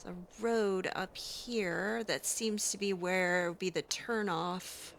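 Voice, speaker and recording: Female let's player, in-character and self-talking; clear forward tone, leisurely delivery, light background ambience. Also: on the low side, fairly even intonation, takes it slowly, fairly bright tone, slight background noise. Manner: talks aloud to herself, musing. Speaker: let's play host roleplaying her character. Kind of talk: in-character improvised game narration.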